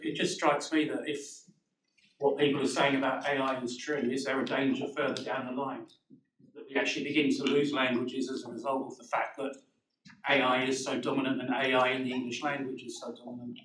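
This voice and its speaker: A man in the audience poses a careful question in a flat, even voice. The sound is roomy and distant, with a faint hiss behind it. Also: mid-range voice, fairly quick. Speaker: audience member asking a question. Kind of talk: conference Q&A question. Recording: echoey hall, distant mic, faint noise.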